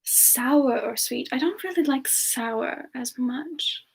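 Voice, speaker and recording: A woman playing a gentle, polite frost demon with no memory, speaking softly and hesitantly in an in-character ASMR-style Q&A. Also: sits high, slow, creaky, slightly rough, exaggerated voice. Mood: thoughtful. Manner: hesitant, soft-spoken, slips into whispers. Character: gentle, polite amnesiac frost-powered demon woman, reborn from long ago. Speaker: streamer answering viewer questions in character. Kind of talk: semi-ASMR in-character Q&A, soft improvised chat.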